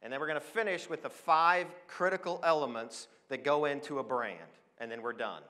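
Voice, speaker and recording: American man, forties, conference speaker, forceful bright tone, enthusiastic and emphatic, motivational business talk, big echoing hall. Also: on the high side, fairly quick. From Switzerland, then Oregon. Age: 40s. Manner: emphatic, enthusiastic, addresses listeners with questions. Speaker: conference speaker, advertising professional. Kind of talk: motivational business presentation to an audience. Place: on stage in a large hall. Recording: long echo of a large hall.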